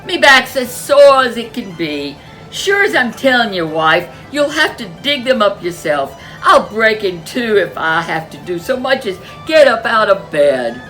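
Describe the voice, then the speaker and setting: A woman voicing a lazy, whining man, who complains loudly. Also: medium pitch, sing-song, measured pace, soft background music, pitched above the performer's own voice, low-quality recording. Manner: whiny, complaining.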